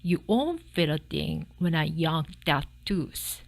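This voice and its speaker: A woman's voice: low voice, expressive, takes it slowly, vocal fry, heavily acted. A woman voicing a small male mouse dentist in a storybook, polite, firm and emphatic. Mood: brisk, professional. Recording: background music behind the voice.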